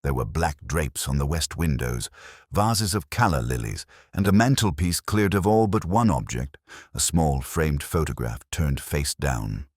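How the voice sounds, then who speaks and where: A man reading a scripted story as narrator, in a solemn, measured and dramatic way. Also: a bass voice, animated, dry recording, studio quality. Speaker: audiobook narrator.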